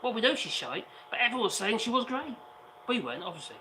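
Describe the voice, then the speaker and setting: A man with a hoarse voice co-hosting a casual livestream chat outdoors, sarcastic and fond of rhetorical questions. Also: very high voice, big pitch swings, quick pace, pushed and bright, a little hiss or hum, poor audio. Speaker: co-host, video channel maker. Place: outdoors on an island, sitting on cardboard.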